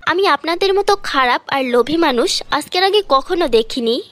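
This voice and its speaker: A brave young village orphan voiced by a woman speaks in a very high, earnest voice. She is loyal and emphatic, in a dry cartoon fairy-tale recording. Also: expressive, moderate pace, a bit bright, no echo. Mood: defiant.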